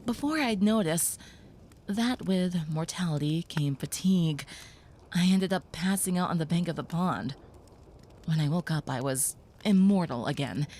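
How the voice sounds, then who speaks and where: Woman as a kind young vampire girl, soft whispers, soothing, low-ish voice, audio roleplay, faint background noise. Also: a little slow, close to an everyday voice. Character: gentle young female vampire caring for a human.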